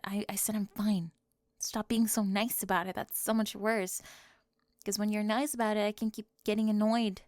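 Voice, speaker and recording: A young woman voicing a sharp-tongued cheerleader bully for an ASMR roleplay, sarcastic and defensive, often dropping to a whisper close to the mic. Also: medium pitch, no echo, lightly acted.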